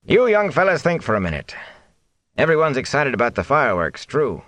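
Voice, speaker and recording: A man's voice: medium pitch, wildly swooping pitch, brisk, a bit bright, no room sound, pitched above the performer's own voice. A man voicing a shrewd, stout middle-aged innkeeper who is also the village mayor, hearty and wry.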